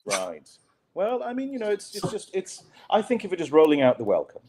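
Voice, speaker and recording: A man from Pakistan, a journalist guest telling stories in a relaxed interview, with wry, dry humor and lots of fillers and restarts. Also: high-pitched, brisk, a crowd in the background. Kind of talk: conversational storytelling on a podcast interview.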